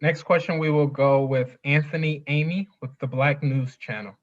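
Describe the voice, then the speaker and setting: A man's voice: a little high, moderate pace. A man moderating a press conference, calling on reporters and closing the session in brief, formal words. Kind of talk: announcing questioners. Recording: dull, lo-fi sound.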